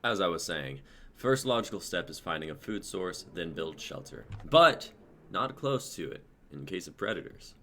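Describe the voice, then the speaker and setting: A man's voice: sits low, big pitch swings, normal speed, vocal fry, a bit bright, slight background noise, heavily acted. A man voicing a self-important, pessimistic young techie survivor with a pompous manner in an amateur dramatic table reading.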